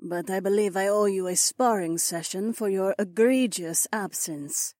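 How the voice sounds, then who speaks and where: A man's voice: sits high, unhurried, darkish tone, dry recording, pitched above the performer's own voice. A man voicing a composed young cat woman with a dry, teasing manner. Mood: cool.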